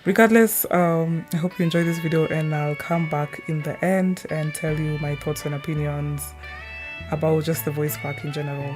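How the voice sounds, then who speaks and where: A woman's voice: deep voice, fairly even intonation, normal speed, over music. A woman giving a casual, rambling introduction in an informal, somewhat scattered and hesitant way. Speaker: video creator.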